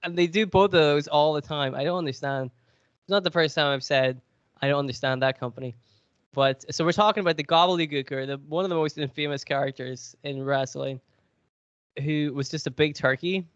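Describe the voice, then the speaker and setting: A man's voice: fairly high pitch, a little fast, clean tone, no echo, dull audio. A man with a cold, sniffly, chatting and joking as he hosts a podcast quiz. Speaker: podcast co-host. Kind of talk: casual podcast chat.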